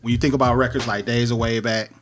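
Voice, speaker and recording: A man's voice: mid-range voice, level tone, quick pace. A man hosting a podcast, from Detroit, talking shop about music production in a casual, slangy, analytical way. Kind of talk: casual conversational interview.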